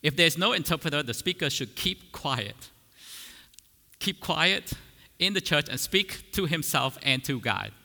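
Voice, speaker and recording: Man, Vietnamese as first language, teaching a church sermon; earnest and explanatory, bright voice carried in a reverberant church hall. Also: a little high, animated, measured pace, vocal fry. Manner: earnest, explanatory, with frequent restarts and fillers. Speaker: preacher.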